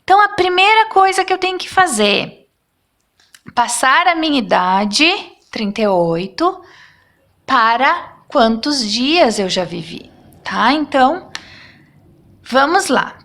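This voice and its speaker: Teacher lady in her 30s, high voice, slow and patient, keeps checking in with the class. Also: sing-song, fairly bright tone. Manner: patient, checks in with "tá?" after each step. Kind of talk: step-by-step math lesson.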